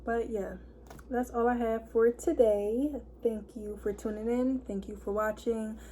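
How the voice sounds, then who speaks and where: A hoarse woman of twenty-one, chatting casually to camera and packing her talk with fillers. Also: fairly high pitch, steady tone, measured pace, dark tone, a little hiss or hum. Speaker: vlogger. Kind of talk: casual story time chat.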